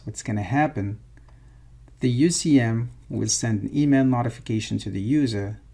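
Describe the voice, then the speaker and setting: A man's voice: on the low side, creaky, a little mellow. A man presenting an instructional screencast, explaining things step by step. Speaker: software tutorial presenter.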